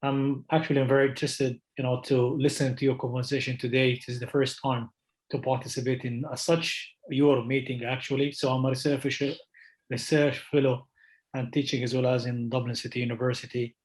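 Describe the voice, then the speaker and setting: A man speaks in a flat, even voice with little pitch movement. He introduces himself loosely and keeps coming back to filler phrases. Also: fairly quick, low-quality recording. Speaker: research fellow.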